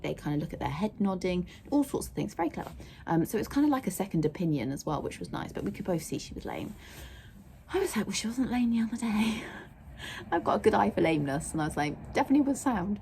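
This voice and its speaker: A young woman with a croaky voice, chatting casually in a rambling way as she vlogs about her horse. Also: sits low, a little mellow, a little hiss or hum. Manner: chatty and rambling, laughs often. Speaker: vlogger, horse owner. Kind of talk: casual vlog chat. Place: outdoors at a stable yard, then in a car.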